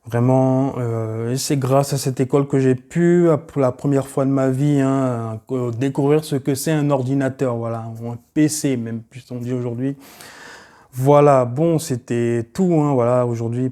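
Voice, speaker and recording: A man's voice: mid-range voice, normal speed. A man in his late twenties, originally from Cameroon, chatting casually in a vlog with loose, rambling talk full of fillers. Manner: relaxed and rambling, many fillers like voilà and euh. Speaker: YouTuber.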